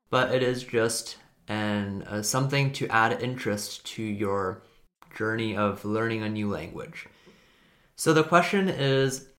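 A young man whose first language is Chinese, speaking with a bright, ringing tone. He talks to his viewers in a relaxed, chatty way, pausing and restarting his sentences as he thinks. The delivery is unscripted and friendly. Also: mid-range voice. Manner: relaxed, rambling, self-correcting. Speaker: language video host. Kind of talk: casual talk to camera.

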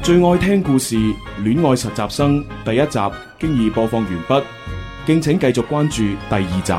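A male Cantonese radio host with a mid-pitched, very animated voice. He tells the story in an expressive, conversational style over clear background music. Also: normal speed. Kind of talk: radio drama narration and casual commentary.

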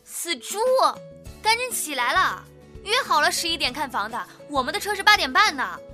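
A woman's voice: high-pitched, big pitch swings, moderate pace, bright, forceful tone, soft background music, exaggerated voice. A woman acting a bossy, feisty young woman in a Chinese radio drama. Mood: exasperated, scolding.

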